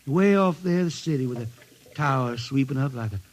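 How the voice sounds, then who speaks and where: An actor playing a rough, wistful blinded spaceship jetman turned singer, with a rustic Southern hill-country drawl, in 1950s radio drama style. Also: mid-range voice, expressive, brisk, lightly acted. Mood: wistful, dreamy. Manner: rustic drawling dialect, plainspoken. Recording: noisy vintage 1950s radio recording.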